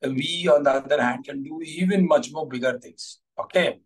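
A man's voice: a little high, moderate pace, husky. A man in his forties with an Indian accent, a coach talking encouragingly to students in an online class. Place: small curtained room at home. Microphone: video call, device at arm's length. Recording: distant microphone.

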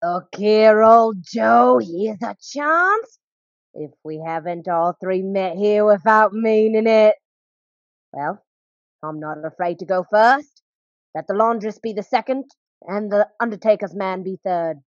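A woman's voice: medium pitch, animated, slow, no room sound. Brazen, dull-miked charwoman thief. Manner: blunt, defiant. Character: brazen, spiteful working-class charwoman and thief. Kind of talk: radio play acting.

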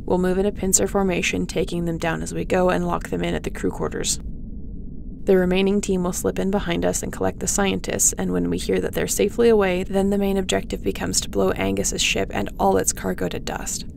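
A resolute, sardonic rebel captain, acted by a woman for a sci-fi audio roleplay. Her delivery is even and dry with heavy vocal fry. Faint ambience sits behind her commands. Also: a little fast, noisy background. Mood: determined, protective. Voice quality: creaky, low-toned.

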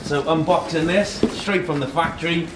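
A man's voice: fairly high pitch, fairly even intonation, moderate pace. A man in his thirties hosting a casual tech unboxing, speaking in short phrases as he handles boxes and products. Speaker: host of a tech unboxing video. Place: indoor office meeting room. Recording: echoey room, background noise and handling clatter.

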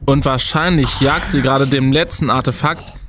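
An actor playing a young student detective, an earnest, self-important leader, in an audio drama. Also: male voice, mid-range voice, fairly even intonation, normal speed, noisy background, close to an everyday voice. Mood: earnest, resolute. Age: young adult. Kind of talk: German audio drama acting.

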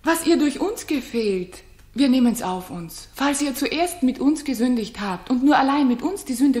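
A witty queen in a 1960s German radio play. She speaks eloquent verse in a highish voice, playful and courtly. The sound is roomy. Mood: teasing. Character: gracious, teasing, witty queen of Sicily.

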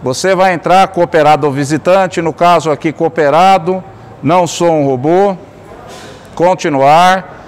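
A man's voice: fairly high pitch, a little slow. A middle-aged man, an executive, guiding viewers step by step through a digital fair on a touchscreen in a large store. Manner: steady explanatory tone, narrating each click. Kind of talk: product walkthrough.

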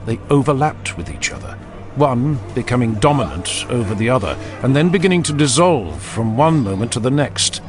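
A man giving scripted, dramatic narration of a fantasy audiobook with grave, deliberate phrasing. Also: mid-range voice, animated, music in the background.

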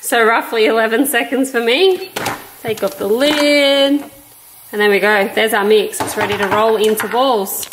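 Australian woman vlogging, higher voice, echoey kitchen. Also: moderate pace, over music. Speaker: health vlogger. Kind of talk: casual cooking demonstration to camera. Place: home kitchen, indoors. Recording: echoey kitchen with background noise.

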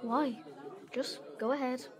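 A woman's voice: high-pitched, fairly even intonation, slow pace. An actress playing a sullen, grieving schoolgirl in a children's audio drama. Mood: irritated. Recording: faint party crowd behind.

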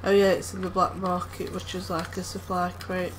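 A woman talking casually over gameplay, soft-spoken and hesitant, with lots of ums and groans. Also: lowish voice, completely flat delivery, normal speed. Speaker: gameplay commentator. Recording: loud background noise from the game. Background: game sounds.

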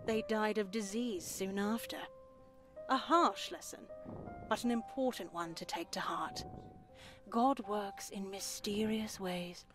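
A woman voicing a stern, pious elderly nun in a visual novel game, measured, formal and sanctimonious. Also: mid-range voice, steady tone, music in the background, lightly acted. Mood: solemn.

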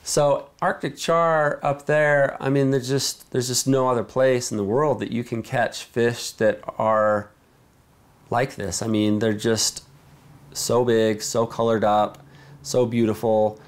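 A man in his thirties with a mid-range voice talks slowly and admiringly in a sit-down documentary interview. He pauses to search for words as he speaks. He is recorded indoors on an off-camera interview mic. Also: mid-range voice, fairly even intonation. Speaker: fly fishing filmmaker. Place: indoor studio with wood-plank backdrop.

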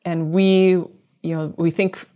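Woman in her 30s, low voice, scientist being interviewed on a video call, thinks out loud and explains carefully. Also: level tone, slow. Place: small room indoors, video call. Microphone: wired earbuds headset on video call.

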